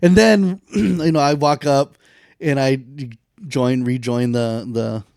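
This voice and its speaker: Animated baritone man telling stories. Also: takes it slowly, gravelly, smooth, no room sound, clean studio sound. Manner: animated storyteller, laughs often. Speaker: podcast co-host. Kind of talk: casual chat, storytelling.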